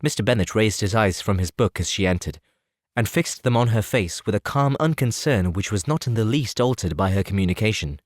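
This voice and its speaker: A man narrating a classic novel as an audiobook in a steady, expressive reading. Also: on the low side, brisk, no echo, clean studio sound. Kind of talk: scripted narration.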